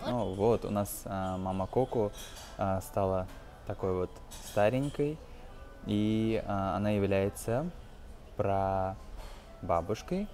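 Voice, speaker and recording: Young male tutor, lowish pitch, informal and hesitant explainer, bilingual examples, light music. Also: mellow. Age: 20s. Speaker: English teacher, video host. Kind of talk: casual lesson commentary on a film.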